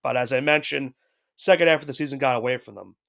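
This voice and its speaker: A man's voice: medium pitch, fast talker, gravelly, a bit bright. A man co-hosting a sports podcast with a head cold, talking casually and loosely, with frequent restarts. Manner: rambling, with restarts and hedges. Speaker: podcast co-host.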